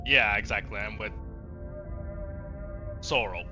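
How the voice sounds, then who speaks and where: A man's voice: on the low side, slow pace, pushed and bright, dull audio, faint music behind. A man giving casual, sweary, sarcastic gaming commentary, talking back to the game as he streams. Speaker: let's play streamer.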